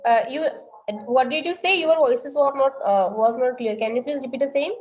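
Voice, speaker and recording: Indian woman tutor, Indian English accent, high voice, slow careful questions, muffled video-call audio. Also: a little hiss or hum. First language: Marathi. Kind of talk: conversation practice session.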